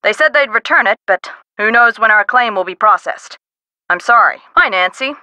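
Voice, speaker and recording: An actress voicing a businesslike, wry middle-aged woman director in a video game, brisk and matter-of-fact. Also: a little high, lively intonation, sharp tone, dry recording. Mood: helpful.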